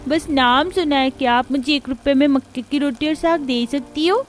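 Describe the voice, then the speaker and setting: High-voiced pleading child played by a woman. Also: moderate pace, natural voice. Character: poor hungry young child pleading for cheap food. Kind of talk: Hindi cartoon story acting.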